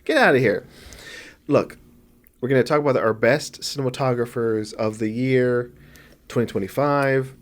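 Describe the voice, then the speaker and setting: A man's voice: medium pitch, moderate pace, pauses often. Sniffly, rambling male podcast co-host. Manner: rambling, self-correcting, jokey. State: sniffly from allergies. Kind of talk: casual film podcast chat.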